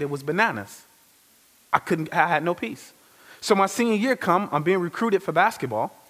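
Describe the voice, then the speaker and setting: A man giving a lively, conversational testimony sermon as a guest speaker, full of slang. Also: on the high side. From Chicago. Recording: reverberant hall.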